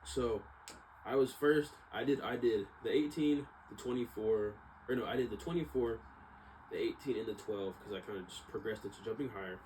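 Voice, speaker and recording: A young American man with a fairly low voice talks in a casual, slangy monologue. He rambles and corrects himself as he goes. There is clear background noise with some room ambience. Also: moderate pace, a little mellow, rough recording. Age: young adult. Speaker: vlogger, exercise science student.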